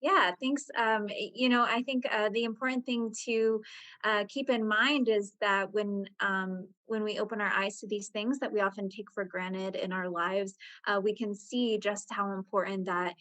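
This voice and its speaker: A woman presenting as a reporter, explaining in a conversational, halting way as she thinks aloud. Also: mid-range voice, steady tone, fairly bright tone, no echo.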